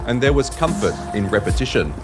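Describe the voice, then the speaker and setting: A man's voice: medium pitch, big pitch swings, fairly quick, over music. A man reading scripted narration for an audio drama.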